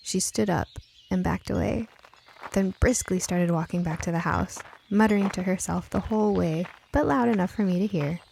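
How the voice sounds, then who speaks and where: A woman's voice: on the low side, normal speed, creaky, a little mellow, slight background noise, poor audio. A woman reading scripted first-person fiction as an audiobook narrator.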